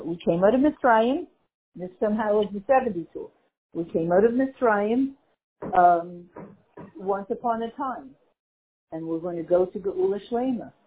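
A woman's voice: medium pitch, slight background noise. Woman teaching a Torah class over a phone line. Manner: lively, mixes Hebrew and Yiddish terms, searches for words. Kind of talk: Torah class explaining a text.

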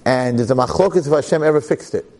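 A man's voice: mid-range voice, fast talker, darkish tone. A man giving a Torah lecture to a class, emphatic and dramatic, repeating phrases and asking rhetorical questions. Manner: public speaker.

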